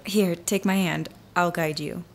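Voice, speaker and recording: A woman's voice: fairly low pitch, steady tone, a little slow, creaky, a little hiss or hum. An actress playing a self-assured woman on a blind date in a radio drama, dry and matter-of-fact. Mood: wry.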